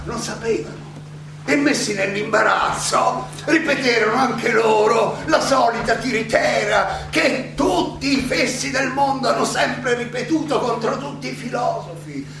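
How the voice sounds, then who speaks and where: In a very echoey, distant space an old philosopher on trial, his voice high and gravelly. He is defiant and sardonic, arguing in rhetorical questions with theatrical pauses, as in a stage play. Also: expressive, over music, full character voice. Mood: sardonic, indignant. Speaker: stage actor. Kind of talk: theatrical courtroom monologue.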